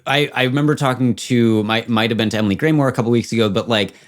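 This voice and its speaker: Guy hosting a chatty podcast, medium pitch, informal, kinda thinking out loud. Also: quick pace. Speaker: podcast host and game master. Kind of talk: casual conversational interview.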